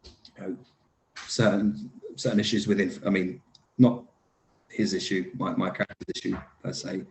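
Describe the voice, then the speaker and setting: A man's voice: sits low, little pitch movement, moderate pace, creaky. A man being interviewed about his acting work, talking casually with many fillers, restarts and easy laughs. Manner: laughs easily. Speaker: lead actor guest. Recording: echoey room.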